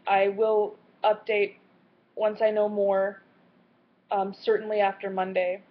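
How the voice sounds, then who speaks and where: A woman in her late twenties talking in a personal video diary, earnest and candid, with a halting way of speaking. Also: medium pitch, flat delivery. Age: late 20s. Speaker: vlogger. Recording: slightly muffled lo-fi audio.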